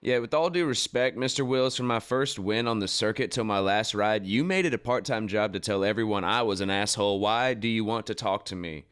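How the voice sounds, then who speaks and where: Young rodeo rider for radio drama, played by a man: proud, guarded, slightly deep, smooth voice. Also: fairly quick, hardly any pauses, a bit bright, lightly acted. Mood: irritated, guarded. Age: young adult. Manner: blunt, guarded. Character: proud, blunt young injured West Texas rodeo rider. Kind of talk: radio play acting.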